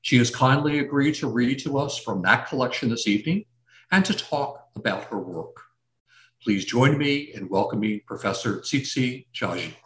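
A man's voice: mid-range voice, animated, moderate pace, fairly bright tone. Man hosting an event, formal introduction, set back from the mic in a roomy hall. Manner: reads a list of credits with a slip. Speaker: host introducing a speaker. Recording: echoey room, mic at a distance.

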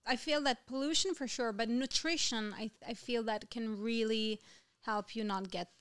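A woman from Italy, a singer-songwriter chatting as a podcast guest, bubbly and quick to laugh, often restarting her sentences. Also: a little high, steady tone, fairly bright tone, clear, resonant tone. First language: Italian. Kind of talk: casual podcast chat.

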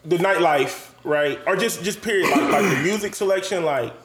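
A man's voice: sits high, normal speed, echoey room, over a noisy crowd. A man from Columbus, Ohio, co-hosting a casual chat, slangy and profane, full of "like" and "you know".

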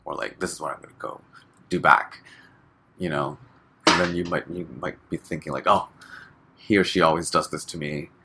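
A man from Hong Kong, a guest chatting casually in an interview, relaxed and full of fillers, laughing often. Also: a bass voice, normal speed, long pauses, very noisy.

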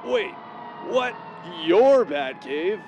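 An actor voicing a suave, grown-up billionaire uncle in a comedic cartoon, measured and reassuring but a bit condescending. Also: male voice, high voice, sing-song, a little mellow, a little hiss or hum, heavily acted. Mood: caught out, resigned.

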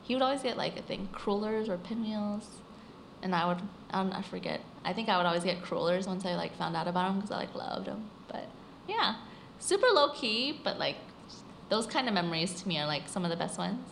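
A woman's voice: medium pitch, animated, fairly quick, vocal fry, slight background noise. A woman who runs a small donut shop, chatting casually and loosely in a recorded interview. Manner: chatty, filler-heavy with frequent "like". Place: small shop during opening hours.

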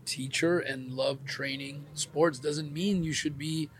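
A man's voice: a little high, fairly even intonation, slow, a bit bright, slight background noise. A man interviewing a guest, asking probing follow-up questions and reacting with enthusiasm. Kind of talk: casual interview.

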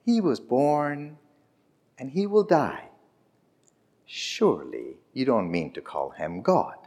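A man voicing a patient, understanding older male judge who speaks gently and like a father. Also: mid-range voice, big pitch swings, slow pace, slightly rough, full character voice.

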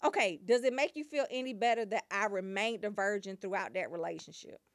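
A woman's voice: mid-range voice, a little fast, dry recording. A woman hosting a casual comedic show, playful and teasing, trying on mock accents. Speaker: show host. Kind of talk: casual comedic live chat.

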